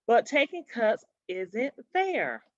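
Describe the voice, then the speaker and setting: A woman's voice: on the high side, sing-song, no echo, muffled recording. A woman voicing an indignant young schoolgirl in a storybook, emphatic and complaining. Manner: emphatic, complaining, drawn-out stresses. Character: indignant young schoolgirl with a strong sense of fairness.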